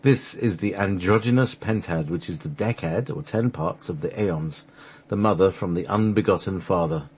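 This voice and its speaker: A man narrating a sacred text for a radio play, halting at times as he reads from the script. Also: low-pitched, darkish tone, husky. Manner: halting, stumbles over the script and restarts.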